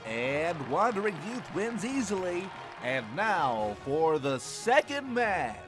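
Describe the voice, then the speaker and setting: A man playing an excitable male tournament announcer who delivers showy patter with dramatic swoops, in a fan radio drama. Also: high voice, slow, over a noisy crowd, heavily acted. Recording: music underneath.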